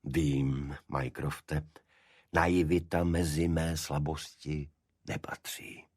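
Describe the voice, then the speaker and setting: A man voicing a shrewd, serious Victorian detective in an audiobook, speaking deliberately and pointedly. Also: extremely low pitch, level tone, a little mellow, husky, no room sound, clean studio sound. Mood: serious, dry.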